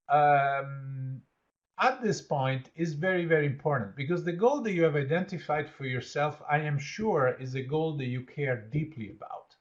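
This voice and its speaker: A man's voice: fairly high pitch, dull audio. A man with an Italian accent, born in Italy, leading an online training session and praising participants' answers. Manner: encouraging, repeats "absolutely", reads out participants' answers. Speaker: workshop trainer.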